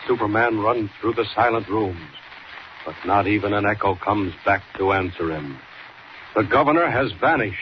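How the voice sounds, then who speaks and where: A man's voice: on the low side, lively intonation, moderate pace. A man narrating a 1940s radio serial as its announcer, in dramatic style. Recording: muffled, noisy old radio recording.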